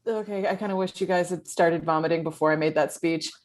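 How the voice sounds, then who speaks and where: A woman acting as a flippant, self-serving young woman in a comedy table read, with breezy deadpan asides. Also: lowish voice, fast, close to an everyday voice. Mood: flippant, sheepish.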